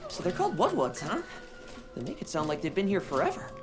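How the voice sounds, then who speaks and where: A woman's voice: lowish voice, huge pitch swings, fast talker. A woman playing a practical, action-ready adventurer in a video game cutscene. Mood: musing. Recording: music behind the voice. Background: game music.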